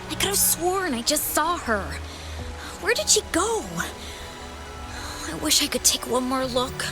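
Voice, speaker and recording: An eager young townswoman in a game, speaks in a helpful, admiring way. Her voice is high and lively, with wide swings in pitch. She takes her time over each line. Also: slow pace, long pauses, over music. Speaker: travel guide writer townsperson. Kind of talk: game voice acting.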